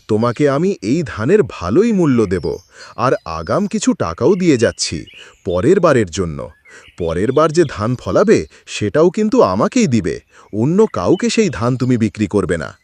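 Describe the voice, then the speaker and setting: Village grain merchant, effusive and commanding, extremely swooping cartoon delivery. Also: male voice, mid-range voice, darkish tone. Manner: effusive, authoritative, theatrical. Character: approving, self-assured rice-buying village merchant. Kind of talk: cartoon moral-story voice acting.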